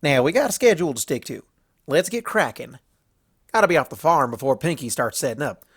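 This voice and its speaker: A man's voice: on the high side, animated, brisk, slightly rough. A man voicing a stubborn, blunt young female farm pony in a storybook reading, with folksy country phrasing. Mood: firm, bossy. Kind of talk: storybook fan fiction character voice.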